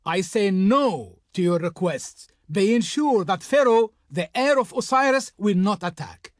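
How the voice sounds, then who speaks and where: Snooty pharaoh's envoy for a strategy game, super dramatic n insulting, voice swings all over, no room echo. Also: male voice, high-pitched, lively intonation, measured pace, studio quality. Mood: haughty refusal. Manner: pompous, insulting, imperious. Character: haughty, scornful male Egyptian envoy of the Pharaoh. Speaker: diplomat character in a strategy game. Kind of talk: theatrical scripted game voice lines.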